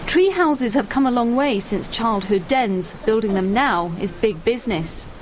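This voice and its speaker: A woman reporter reading a scripted regional news piece in a light, measured way. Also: on the high side, animated, a little hiss or hum. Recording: music bed under voice-over.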